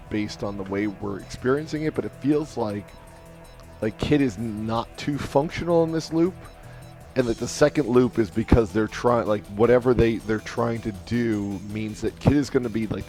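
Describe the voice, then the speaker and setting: Casual man theorizing over soft music. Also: on the low side. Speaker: book club podcast panelist. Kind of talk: casual discussion of a video game story. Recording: music playing under the talk. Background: background music.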